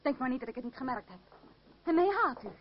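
A woman's voice: high voice, lively intonation, fast talker. A woman acting a proud, wary young actress in an old radio drama, speaking formally and with theatrical reproach. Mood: suspicious, accusing. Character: young actress Climène, proud, wary, won over by love. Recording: dull, noisy old radio play recording.

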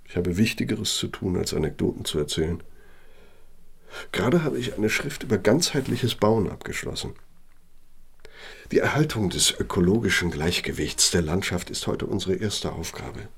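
An actor in a German radio play playing an elderly, self-justifying architect with a gravelly, husky voice and a measured, lecturing manner. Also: male voice, sits low, lively intonation, exaggerated voice. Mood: self-important. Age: older.

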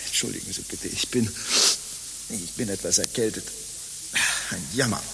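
Timid tormented monk in his forties, lowish male voice, overwrought, halting, radio drama, reverberant hissy sound. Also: vocal fry, fairly bright tone. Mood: agitated. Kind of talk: radio play acting. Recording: hissy, humming recording with long reverb.

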